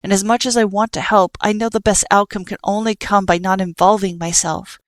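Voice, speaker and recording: A woman voicing a patient, sorrowful child who sees everything and speaks softly and earnestly. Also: mid-range voice, steady tone, fairly quick, no room sound, studio quality, natural voice. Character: calm all-seeing child, sorrowful and patient.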